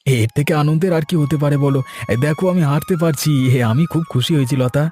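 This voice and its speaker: A man voicing an easygoing village gentleman and husband in a cartoon story, expressive and placating. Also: on the high side, a little fast, soft background music, close to an everyday voice. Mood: joyful.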